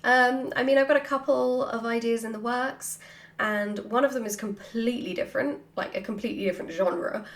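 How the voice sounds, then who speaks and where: A woman chatting casually and playfully as she answers questions from her followers, with an animated manner. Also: a little high, brisk. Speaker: writer hosting a Q&A. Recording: roomy, echoey sound.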